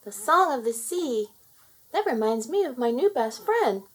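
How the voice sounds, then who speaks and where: A woman's voice: sits high, big pitch swings, measured pace, husky, voice pushed up, heavily acted, poor audio. A woman voicing a sweet, chatty, secretive little girl in a children's storybook, with an earnest childlike manner. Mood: excited.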